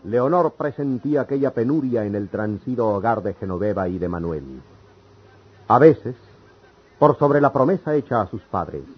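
A man with a Mexican accent and a husky voice, giving dramatic scripted narration as an old radio announcer. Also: medium pitch. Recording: muffled old radio recording, faint music.

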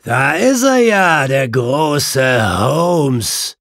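A man's voice: medium pitch, big pitch swings, drawn-out words, fairly bright tone, dry recording, clean studio sound, voice pushed up, exaggerated voice. A man voicing a condemned murderer in an audiobook, sneering and defiant, calling out taunts and vengeful threats. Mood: taunting defiance.

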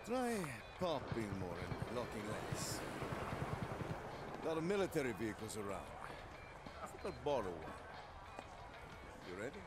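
A man's voice: mid-range voice, big pitch swings, moderate pace, long pauses, very noisy, close to an everyday voice. An actor playing a sardonic male rebel agent in his thirties in a game cutscene, with a clipped, dry delivery. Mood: challenging. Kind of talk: video game cutscene acting.

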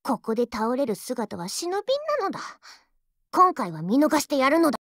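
A woman's voice: sits high, sing-song, normal speed, fairly bright tone, no echo, studio quality, exaggerated voice. A woman voicing a haughty, childish anime warship girl in a mobile game, boastful and tsundere in manner. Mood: smug, boastful. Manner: boastful, tsundere, ends sentences with a verbal tic. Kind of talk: Japanese anime game character voice lines.

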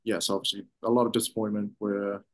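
Man in his thirties, pro footballer, hesitant answers, low voice, video call. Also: little pitch movement. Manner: halting, many restarts and fillers. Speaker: pro soccer defender. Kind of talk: postgame press conference answers. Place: press room in front of sponsor backdrop.

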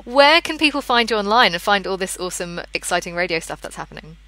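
A woman's voice: mid-range voice, expressive, fast, sharp tone, no echo, rough recording. A young British woman chatting casually as a podcast host. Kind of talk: casual interview chat.

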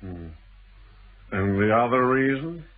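An actor playing a shrewd, insinuating stranger in a British radio thriller, with a teasing, deliberate way of probing and a husky voice. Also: male voice, medium pitch, expressive. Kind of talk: British radio drama acting. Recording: muffled band-limited old radio broadcast.

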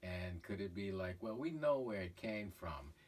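A middle-aged man, a painter, talking casually about his own painting in a halting way with many fillers, filmed in a cluttered studio. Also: sits low, expressive. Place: cluttered art studio indoors. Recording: voice from far off, faint room noise.

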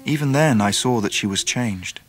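An actor playing a grown man tenderly recalling his boyhood, narrating a literary radio drama in a reflective, gentle manner. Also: medium pitch, normal speed, close to an everyday voice. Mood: wistful.

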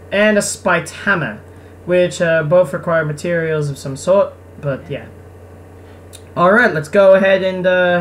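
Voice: medium pitch, a little hiss or hum. A gamer giving casual gameplay commentary in a sarcastic, foul-mouthed, grumbling way. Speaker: let's player. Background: game music.

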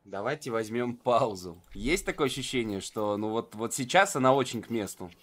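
A man's voice: medium pitch, normal speed, fairly bright tone. A man giving live, conversational esports commentary in colloquial gamer slang. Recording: game sound behind the voice.

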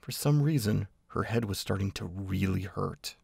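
A man reads story narration in a low, heavily gravelled voice. There is a husky rasp to it, and he delivers the scripted prose as a storyteller. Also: moderate pace, darkish tone, dry recording, studio quality.

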